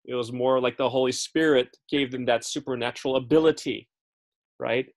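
A man's voice: medium pitch, steady tone, normal speed. A man teaching a Bible study in a casual, chatty way, often checking in with his listeners and correcting himself as he goes. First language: English. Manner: frequent fillers and self-corrections.

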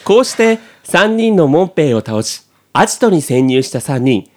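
A man's voice: a little high, very animated, moderate pace, noisy background. A man reading scripted narration for a comic radio drama.

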